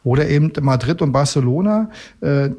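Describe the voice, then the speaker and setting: Male studio pundit, raspy, somewhat high voice, chatty explainer with halting, self-correcting delivery. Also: animated, warm tone. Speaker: radio expert guest in a magazine segment. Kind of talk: conversational explaining.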